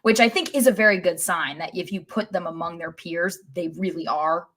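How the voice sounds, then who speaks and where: A woman's voice: lowish voice, moderate pace, fairly bright tone, a little hiss or hum. An American woman co-hosting a sports podcast, chatting conversationally about the game. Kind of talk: conversational soccer podcast discussion.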